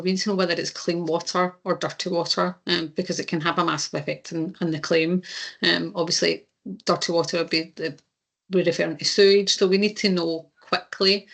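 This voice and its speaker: A Scottish woman presenting in a webinar, talking listeners through her slides in a steady, explanatory way. Her voice has a gravelly edge, and her pitch stays level and even throughout. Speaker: insurance claims presenter.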